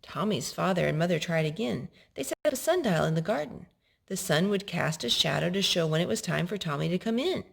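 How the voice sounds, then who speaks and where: A woman's voice: on the low side, expressive, a little fast, vocal fry, a little hiss or hum. A woman hosting a storytime, reading a children's picture book aloud and explaining it to a young child. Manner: instructive, addressing a young child.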